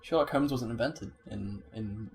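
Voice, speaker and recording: A man's voice: on the low side, normal speed, slight background noise. A man giving casual, joking commentary as a let's play commentator.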